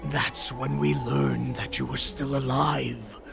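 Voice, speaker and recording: An actor playing a savage, fanatical wolf-like raider in a video game, with a snarling, theatrical delivery. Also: male voice, medium pitch, very animated, over music, exaggerated voice. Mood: fervent. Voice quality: heavily gravelly, snarling. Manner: slow, theatrical, swinging into shouts. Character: savage wolfish raider, bloodthirsty, fanatical servant of his lord. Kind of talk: dramatic video game voice acting.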